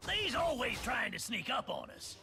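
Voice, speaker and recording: A man playing a crazed, cranky little redneck creature from a video game, with a raspy voice and a rural Southern American accent, belligerent and folksy. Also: extremely high pitch, very animated, normal speed, faint music behind, full character voice. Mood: paranoid. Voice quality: raspy, gravelly shouting. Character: crazed cranky small yordle redneck, paranoid and bloodthirsty.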